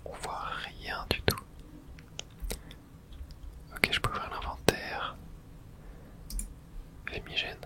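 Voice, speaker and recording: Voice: slow, long pauses. Whispering ASMR gamer. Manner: whispering throughout; casual swearing, muttering to self. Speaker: video game streamer. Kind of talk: whispered ASMR game playthrough.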